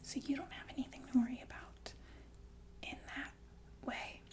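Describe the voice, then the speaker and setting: A woman playing a softly menacing, falsely soothing killer nurse in a whispered ASMR roleplay, halting and casually polite. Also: high voice, fairly even intonation, natural voice. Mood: calmly menacing. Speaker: ASMR performer.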